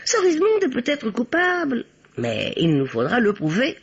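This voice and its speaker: An actress playing a bossy, self-assured older spinster who plays detective, speaking theatrically and with authority in an old radio play. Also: female voice, on the high side, huge pitch swings, normal speed, slightly rough, full character voice. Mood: self-satisfied. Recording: dull, lo-fi old radio recording.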